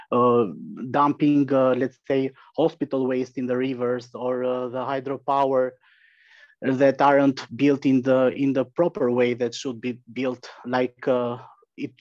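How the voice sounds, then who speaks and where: A man speaking as a guest journalist in a panel over a video call, halting with many restarts. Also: mid-range voice, dry recording. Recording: dry, muffled call audio.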